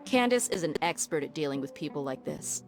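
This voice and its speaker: A woman's voice: low voice, lively intonation, brisk, over music. A woman playing a tough, laid-back young desert mercenary in a video game, speaking in a casual, frank, self-assured way. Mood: relaxed, trusting.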